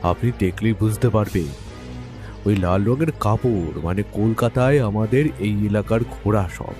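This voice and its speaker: Narrator doing an insistent, talkative carriage driver. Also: male voice, fairly low pitch, a little slow, a little mellow, soft background music, voice pushed down, lightly acted. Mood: insistent, explaining. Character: indignant, talkative local male horse-carriage driver. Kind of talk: character voice in audio story.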